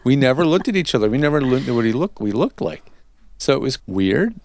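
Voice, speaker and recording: A man's voice: mid-range voice, very animated, brisk, a little mellow, no echo. A man hosting a casual interview, chatting in a rambling way that drifts into tangents. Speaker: podcast host and interviewer.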